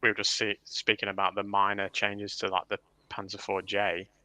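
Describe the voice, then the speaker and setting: A man's voice: lowish voice, completely flat delivery, moderate pace, sharp tone, no echo. A man on a livestream panel chatting casually about a hobby.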